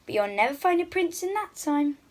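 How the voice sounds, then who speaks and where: A woman's voice: high voice, animated, fairly quick, pitched above the performer's own voice. A woman voicing a stern, commanding king and father in a children's storybook. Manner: firm, commanding.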